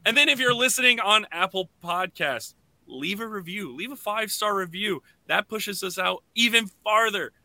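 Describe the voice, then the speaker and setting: A man hosting a podcast, chatting casually and enthusiastically in an animated way. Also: high voice, moderate pace, dry recording. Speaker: podcast host.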